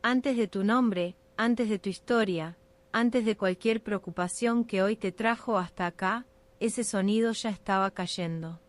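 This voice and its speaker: A woman narrating a scripted ASMR sleep meditation in a soothing, unhurried, hypnotic way. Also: mid-range voice.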